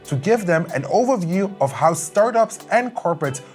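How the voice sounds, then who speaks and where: An upbeat man narrates his vlog in a promotional tone. Music plays clearly behind his voice. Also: sits high, lively intonation, moderate pace, darkish tone. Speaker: vlog host.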